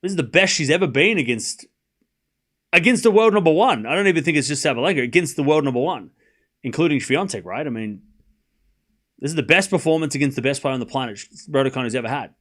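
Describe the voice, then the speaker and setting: A man's voice: fairly high pitch, expressive, quick pace, a bit bright. A man with a mild Australian accent hosting a live tennis watchalong, mixing commentary with casual chat and repeating phrases for emphasis. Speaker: livestream watchalong host and commentator.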